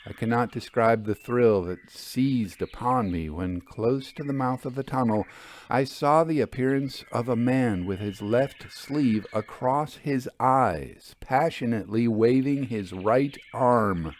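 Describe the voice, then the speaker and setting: A man narrating classic literature as an audiobook, in a measured, formal and theatrical way. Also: medium pitch, a little hiss or hum.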